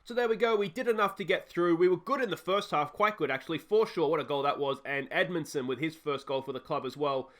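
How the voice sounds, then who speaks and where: An Australian man hosting a casual football management game let's play, a little croaky from a cold, chatting and reacting excitedly to match highlights. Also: fast, few pauses, faint music behind. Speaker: gaming channel host.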